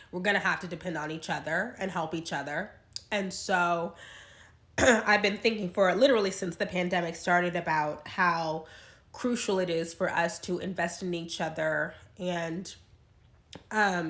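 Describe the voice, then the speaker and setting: A woman's voice: lowish voice, a bit bright. Stuffy-nosed woman vlogging in an echoey room. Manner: chatty, tangent-prone, frank. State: stuffed up from a cold. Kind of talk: casual talk to camera.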